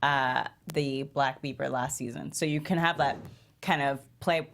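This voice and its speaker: A woman's voice: very deep voice, steady tone, unhurried. A woman talking casually as a panelist in a studio group discussion. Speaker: panelist giving script notes.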